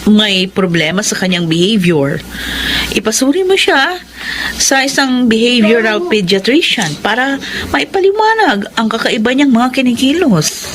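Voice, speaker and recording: An actress playing a concerned, frank school staffer in a Filipino radio drama. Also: female voice, on the high side, very animated, measured pace, noisy background. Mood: serious.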